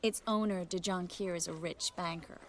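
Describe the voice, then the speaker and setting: A woman's voice: lowish voice, fairly even intonation, moderate pace, a little hiss or hum, close to an everyday voice. An actress playing a guarded, world-weary young woman in a fantasy video game, speaking in an understated way. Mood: matter-of-fact. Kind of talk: video game character acting.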